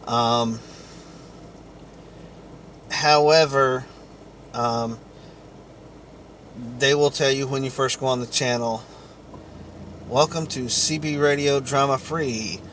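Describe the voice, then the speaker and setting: A man giving an informal explainer monologue to viewers in a halting, deliberate way, with long pauses. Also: mid-range voice, a bit bright, slight background noise. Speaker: vlogger.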